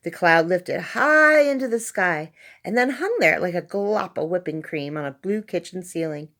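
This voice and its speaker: A woman's voice: lowish voice, animated, moderate pace, fairly bright tone. A woman reading a children's story aloud as narrator, expressively and with dramatic emphasis on key words. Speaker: storybook reader.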